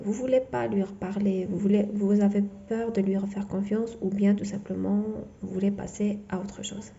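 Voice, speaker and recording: A woman whose first language is not French, giving a tarot reading in a halting way full of fillers and restarts. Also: medium pitch, gravelly, warm tone, highs cut off. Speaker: tarot reader.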